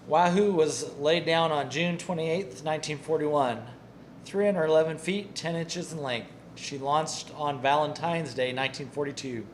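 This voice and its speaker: An actor playing a polite, efficient submarine executive officer in a wartime naval drama, speaking in an orderly, precise way. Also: male voice, a little high, close to an everyday voice. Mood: measured. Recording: faint background noise.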